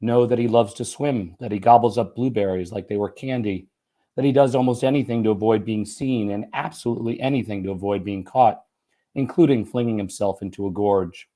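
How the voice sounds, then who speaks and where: A slightly hoarse man reading a first-person short story aloud in an expressive, conversational, folksy way. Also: lowish voice, fairly quick. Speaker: writer and teacher reading own short story.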